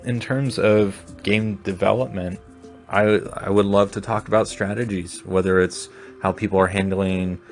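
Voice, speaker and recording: Low monotone guy doing a solo podcast, thinks out loud, faint music behind. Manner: reflective, rambling with restarts. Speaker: podcast host.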